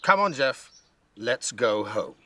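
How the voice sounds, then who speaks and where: A man voicing a stubborn, sceptical little girl in a storybook, with an emphatic, expressive manner. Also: mid-range voice, slow, full character voice. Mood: exasperated.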